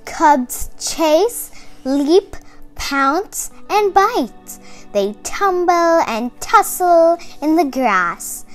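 A young girl reads a children's book aloud in a high, very animated voice. She phrases carefully and takes her time, stressing key words. Soft music plays behind her. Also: extremely slow. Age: child, about 8 to 11. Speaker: young presenter reading a picture book aloud. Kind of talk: expressive read-aloud for kids.

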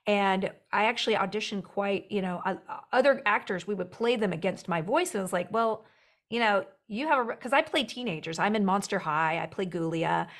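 Young-sounding woman with a headset. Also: medium pitch. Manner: animated, laughs often, quick asides. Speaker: actor and writer guest. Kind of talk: casual interview chat. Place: home room with bookshelves.

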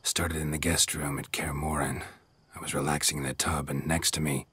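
An actor playing a stoic, brooding male monster hunter in a video game, terse and understated, with a husky, raspy voice. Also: little pitch movement, normal speed, fairly bright tone, no echo, full character voice. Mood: subdued, reflective. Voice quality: very low, husky rasp. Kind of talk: video game dialogue acting.

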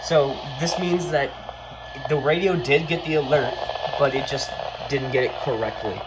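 A man's voice: medium pitch, moderate pace, very noisy. A man giving an informal hands-on tutorial, thinking aloud as he goes, recorded indoors on a handheld phone. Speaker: video creator giving a demonstration. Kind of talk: casual hands-on tutorial narration. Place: indoors at a wooden desk.

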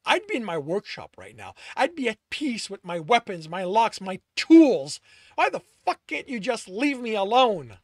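A man voicing an anguished male creature with fur, shouting and swearing through tears. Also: high-pitched, huge pitch swings, normal speed, dry recording, clean studio sound, voice pushed up, full character voice. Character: anguished furred male creature, bitter and furious. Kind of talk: audiobook reading by the author.

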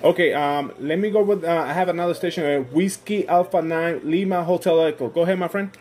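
A man's voice: fairly high pitch, steady tone, a little fast. A friendly man with a Puerto Rican accent and Spanish as his first language, chatting casually as a ham radio operator and often repeating himself. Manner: friendly, repetitive. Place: indoors at a radio station. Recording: noisy phone recording beside radio.

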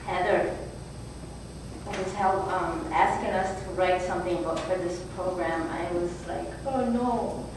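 A woman at a podium reading her own short heartfelt piece, halting and repeating phrases as she goes. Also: lowish voice. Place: brick-walled hall with a seated audience. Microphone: podium mic from a far camera. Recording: roomy, distant, noisy hall recording.

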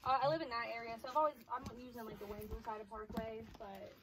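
A woman's voice: on the high side, racing, a crowd in the background. A young woman chatting casually, off camera, picked up by a handheld phone outdoors. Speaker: vlogger's companion. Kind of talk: casual chat. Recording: loud background noise, phone camera outdoors.

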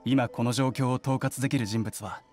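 A burdened young clan lord, acted by a man in an anime game. His mid-pitched voice is sober, formal and earnest, with faint music underneath. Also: steady tone, moderate pace, darkish tone, natural voice. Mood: resigned, resolute. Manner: formal, sober, self-reproaching.

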